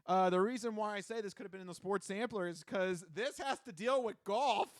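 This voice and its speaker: A man with a high voice co-hosts a podcast in a casual, playful way. He chats and tells stories close to the microphone. The sound is dry, with hardly any room. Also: brisk, no echo. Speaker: podcast host. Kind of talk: casual banter and reading odd news.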